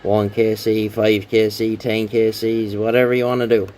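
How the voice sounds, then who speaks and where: A man's voice: on the low side, little pitch movement, normal speed, a little mellow, a little hiss or hum. A man giving a casual, rambling, understated hands-on show-and-tell as a hobbyist, with some hesitation. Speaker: radio hobbyist presenting a rebuilt CB radio.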